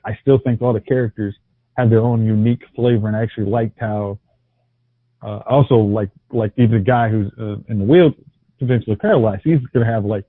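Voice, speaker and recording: A man's voice: lowish voice, fairly even intonation, fairly quick, no room sound. A man co-hosting a podcast, chatting casually about TV, often stumbling and restarting his sentences. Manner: hesitant, many restarts and fillers. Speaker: podcast co-host. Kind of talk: casual TV review chat. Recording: tinny phone-like audio.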